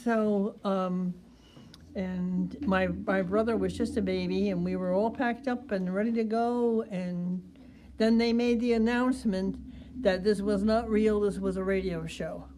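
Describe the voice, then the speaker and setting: An elderly woman in her eighties, haltingly recollecting in an interview. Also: medium pitch, steady tone, warm tone. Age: 80s. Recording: noisy recording.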